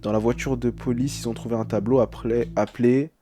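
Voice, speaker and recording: A man's voice: mid-range voice, steady tone, fairly quick, warm tone, soft background music. A young man speaking as a streamer in casual live reaction, translating text aloud with slangy, hesitant, uneasy commentary.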